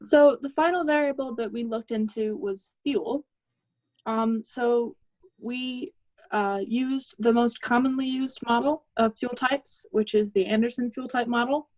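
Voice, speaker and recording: A young woman giving a technical project presentation as a student, in a measured, explanatory way. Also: a little high, fairly even intonation, a little mellow, no echo, low-quality recording.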